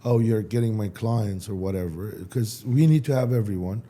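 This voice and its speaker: Man, very dark tone. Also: fairly low pitch. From Iran. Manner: halting, restarts, non-native phrasing. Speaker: startup founder, guest. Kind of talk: casual interview answers.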